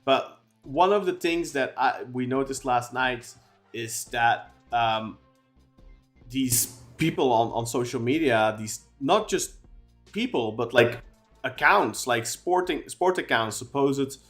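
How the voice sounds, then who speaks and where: A man's voice: mid-range voice, slow pace, a bit bright, faint music behind. A man co-hosting a football podcast, talking at length in casual chat with many restarts and fillers. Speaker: podcast co-host.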